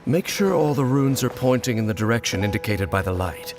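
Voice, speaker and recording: An actor playing a stern, wary swordsman guide in a dramatic video game, grave, measured and commanding. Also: medium pitch, animated, music in the background, close to an everyday voice. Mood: calm, directive.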